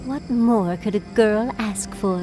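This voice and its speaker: A woman's voice: medium pitch, slow pace, music in the background, close to an everyday voice. A woman voicing a playful, vain adventurer in a video game, theatrical and teasing. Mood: playful, gushing. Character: playful, flirty, vain female adventurer companion.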